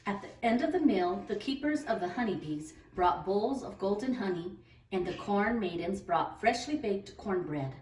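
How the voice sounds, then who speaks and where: A woman's voice: medium pitch, expressive, normal speed, a little mellow, slight background noise. A woman narrating a children's story as a read-aloud storyteller. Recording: roomy echo, distant microphone.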